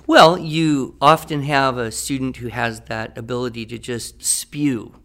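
A man co-hosting an educational podcast, explaining writing instruction in a measured, lightly humorous way. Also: mid-range voice, fairly even intonation, a bit bright. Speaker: writing teacher and podcast co-host. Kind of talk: casual educational podcast chat.

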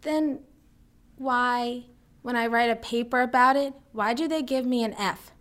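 Woman playing a slow-talking, self-assured schoolgirl. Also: fairly high pitch, fairly bright tone. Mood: aggrieved. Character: wordy, eccentric, self-assured schoolgirl writing student. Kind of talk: radio drama acting.

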